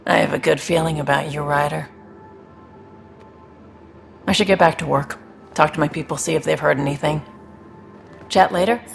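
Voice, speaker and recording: A man's voice: on the high side, fairly even intonation, moderate pace, creaky, long pauses, soft background music. An actor playing a guarded, world-weary man in a video game, plain-spoken and wry. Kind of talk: naturalistic video game acting.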